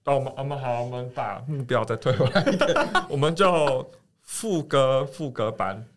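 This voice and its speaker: A woman hosts a casual podcast chat in Taiwanese-accented Mandarin. Her voice is extremely low for a woman, with a wide, lively range, and she comes across as playful and teasing. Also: moderate pace, faint music behind. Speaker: podcast host.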